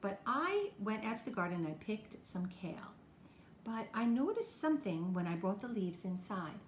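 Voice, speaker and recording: A woman teacher talking to young children in a homemade lesson video, encouraging them with simple questions and explanations. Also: medium pitch. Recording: echoey room, faint background noise.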